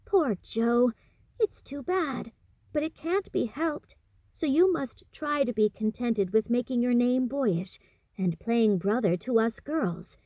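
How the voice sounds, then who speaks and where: A woman voicing a gentle young girl, a storybook peacemaker, with a soft, soothing manner. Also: on the high side, lively intonation, slow pace, dry recording, pitched above the performer's own voice, full character voice. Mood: consoling.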